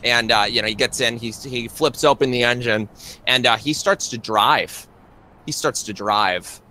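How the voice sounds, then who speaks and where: A man narrating a tabletop roleplaying game as game master, in a casual, halting, improvised way. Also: medium pitch, bright, forceful tone. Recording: clearly noisy live stream.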